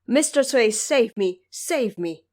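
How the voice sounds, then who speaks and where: A woman's voice: on the high side, expressive, takes it slowly, darkish tone. A woman plays an anxious emperor stranded in the land of the dead. He sounds formal yet frightened, in the manner of a fantasy audio drama. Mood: terrified. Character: frightened emperor in the underworld, pleading.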